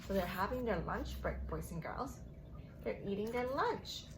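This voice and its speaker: A woman reading and teaching for young children as a story-time host, in simple, drawn-out phrases addressed to the kids, on a noisy recording. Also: medium pitch, lively intonation. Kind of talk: read-aloud narration and playful teaching to young children.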